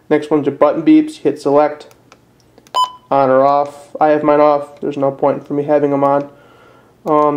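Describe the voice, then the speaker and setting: A man giving a casual step-by-step product tutorial in a plain, unpolished, slightly halting way. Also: on the high side, a little mellow. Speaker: presenter of a how-to video.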